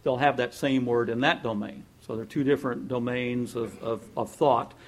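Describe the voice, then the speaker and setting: A man preaching a sermon in a lively, conversational way, often laughing and acting out what people might say. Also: mid-range voice, normal speed. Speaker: pastor.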